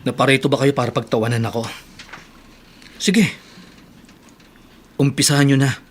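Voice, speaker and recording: An actor playing a bitter, distrustful injured man with wounded pride and a husky voice in a radio drama. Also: medium pitch, steady tone, moderate pace, lightly acted. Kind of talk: Tagalog radio drama acting. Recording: radio drama with music bed.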